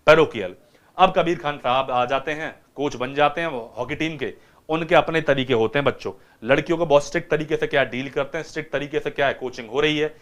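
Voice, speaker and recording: Lively Indian man teaching vocabulary. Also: on the high side. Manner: lively, keeps asking students rhetorical questions. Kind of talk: vocabulary lesson retelling a film.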